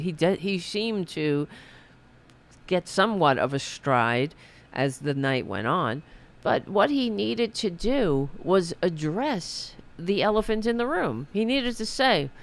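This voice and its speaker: A man hosts a podcast and rants through a political monologue with growing heat. He speaks slowly, in a smooth, clear voice. He often stops and restarts his sentences. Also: on the high side, fairly bright tone. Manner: impassioned, ranting, many restarts. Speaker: podcast host.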